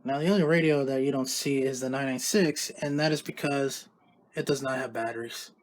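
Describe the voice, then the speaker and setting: A man hosting a home vlog about weather radio tests, talking casually and loosely with halting restarts. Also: mid-range voice, steady tone. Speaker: YouTube host recording radio tests.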